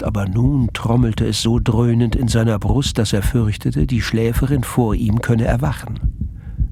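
A man's voice: on the low side, vocal fry, a little mellow, a little hiss or hum. A man narrating a crime radio play in composed, literary scripted prose.

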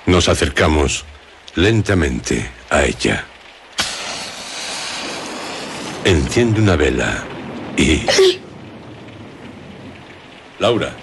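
A man playing a mysterious narrator in a radio horror drama, speaking deliberately and suspensefully with long pauses. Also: a bass voice, very animated, vocal fry, slight background noise, full character voice. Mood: coaxing, mysterious. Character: mysterious, ominous male storyteller coaxing a frightened woman. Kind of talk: slow, dramatic radio storytelling.

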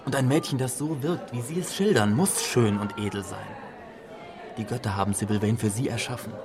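A man acting a devoted, melancholy artist friend in a German radio play, speaking gently and earnestly. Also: medium pitch, a little fast, crowd noise, lightly acted. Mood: gentle, subdued. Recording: clear music behind the voice.

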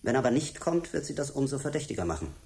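An actor playing a stern, threatening European secret service agent in a radio drama, curt and commanding. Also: male voice, medium pitch, very animated, very fast, darkish tone. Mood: cold, pressing. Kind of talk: German radio drama acting.

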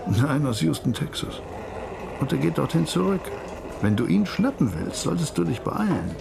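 A man's voice: fairly high pitch, very animated, normal speed, creaky, background noise, full character voice. An actor playing a cagey, streetwise police informant in a radio crime drama, drawing out his story in a teasing way. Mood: sly, musing. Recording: music playing behind the voice.

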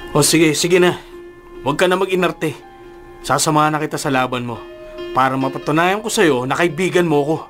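An actor playing a loyal young accomplice, blunt and streetwise, in a Filipino radio drama. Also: male voice, fairly high pitch, fairly quick, close to an everyday voice. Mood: reluctant, supportive. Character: loyal young accomplice, nervous then threatening robber. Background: dramatic music.

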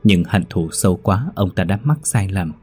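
A man's voice: low voice, animated, normal speed, a little mellow, breathy edge, faint music behind. A man voicing the sorrowful ghost of an old sorcerer's wife, speaking solemnly and evenly.

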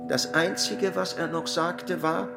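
An actor playing a solemn storyteller narrating a literary tale in a radio play. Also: male voice, on the high side, fairly even intonation, measured pace, gravelly. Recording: loud plucked guitar music underneath.

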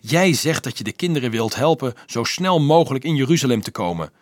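A man voicing a possessive, quick-tempered boy leader in a storybook, indignant and challenging. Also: medium pitch, animated, no echo, clean studio sound, pitched above the performer's own voice, close to an everyday voice. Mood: exasperated anger.

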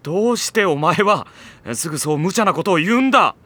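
A man playing a sardonic, put-upon high school boy who narrates and grumbles in an anime audio drama. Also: brisk, heavily acted. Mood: exasperated. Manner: wry, exasperated, deadpan asides.

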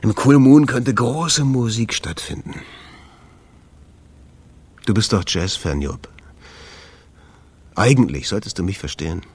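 An actor in a radio play, playing a desperate and passionate jazz club owner. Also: male voice, fairly low pitch, slow, long pauses, darkish tone, slightly rough. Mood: pleading, wistful. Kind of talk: German radio play acting.

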